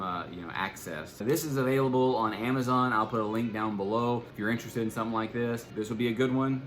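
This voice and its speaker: A man giving a casual, unscripted product demonstration as a video host, halting and thinking aloud as he goes. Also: fairly low pitch, fairly bright tone. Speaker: product reviewer and channel host.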